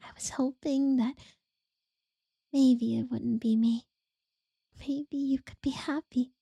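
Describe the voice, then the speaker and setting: A woman voicing an obsessive, possessive captor in a whispered audio roleplay, slow and unsettlingly sweet. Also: high-pitched, steady tone, no room sound, heavily acted. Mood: creepy tender. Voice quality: soft breathy whisper.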